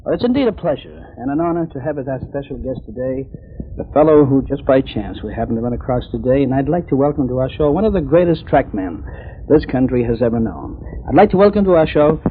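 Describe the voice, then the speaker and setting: A mid-pitched man hosts a radio interview with formal, ceremonious announcer phrasing. The recording is old and tinny, as narrow as a phone line, with faint noise. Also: animated, fast. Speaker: radio show host.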